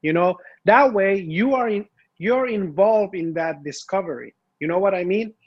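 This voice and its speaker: A man who was born in Iran and grew up in Finland talks through an interview in a high voice. He often restarts and repeats words. The audio sounds a little dull and lo-fi. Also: moderate pace. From Iran, raised in Finland. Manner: repeats phrases, restarts, laughs easily. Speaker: guest, filmmaker and martial artist. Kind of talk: casual interview chat.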